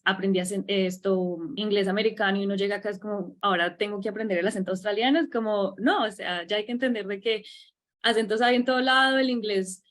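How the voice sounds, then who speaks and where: A Colombian woman with a Colombian accent hosting an interview, coaching her guest with frequent tag questions. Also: medium pitch. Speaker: host. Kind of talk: conversational interview.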